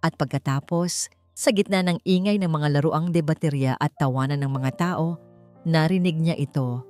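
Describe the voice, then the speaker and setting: A woman's voice: deep voice, moderate pace, a little mellow. A woman narrating a dramatic audiobook story in a scripted storytelling style. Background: background music.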